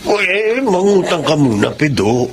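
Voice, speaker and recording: A reckless middle-aged Filipino father in a television drama. His voice is fairly high for a man and heavily creaky, with a casual, insistent delivery. Clear noise runs behind him. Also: very animated, a little slow. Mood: generous, boastful. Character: reckless, boastful gambling father of grown children. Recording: clearly noisy background.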